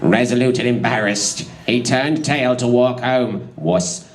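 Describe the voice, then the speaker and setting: A man's voice: medium pitch, a little slow. A man narrating comic fan fiction in a theatrical, giggly way, prone to breaking up and tossing in asides.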